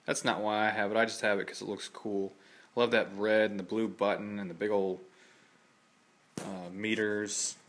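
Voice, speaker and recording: A man talks casually in his living room, showing off hobby gear without a script. His voice sits on the low side yet has a bright, forward edge. He is informal and rambles, pausing hesitantly as he looks for the next thought. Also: flat delivery, slight background noise. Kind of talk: casual off-the-cuff show-and-tell.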